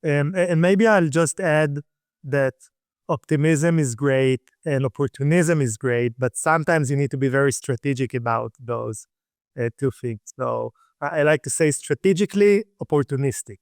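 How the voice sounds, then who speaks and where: A man in his thirties from Israel with an Israeli accent, a startup founder talking casually in an interview, relaxed with many fillers. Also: medium pitch, moderate pace, dry recording, clean studio sound. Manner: relaxed, fillers and restarts, laughs lightly. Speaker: guest, startup CEO.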